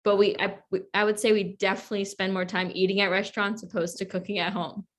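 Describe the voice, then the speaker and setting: A woman in her twenties chats as a podcast guest. She speaks at a middle pitch and peppers her answers with fillers like "like". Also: little pitch movement, fast. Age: 20s. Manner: chatty, with lots of fillers like "like". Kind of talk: casual interview chat.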